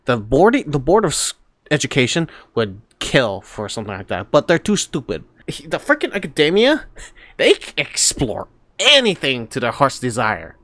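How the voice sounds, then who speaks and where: A woman giving casual, exclamatory reactions to a game as a streamer, expressive and prone to swearing. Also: very deep voice, moderate pace, no echo. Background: game music.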